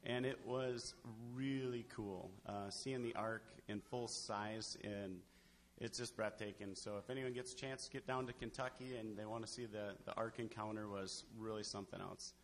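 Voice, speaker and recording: A man's voice: lowish voice, steady tone, moderate pace. A man in his thirties or forties giving a casual testimony as a member of a congregation. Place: large church sanctuary, indoors. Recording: echoey noisy church hall.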